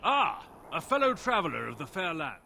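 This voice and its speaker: A smug swindler from a fantasy video game. He speaks in florid, theatrical mock courtesy, with a higher, very animated and creaky voice over faint noise. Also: normal speed, heavily acted. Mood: mock-courteous. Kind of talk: video game character acting.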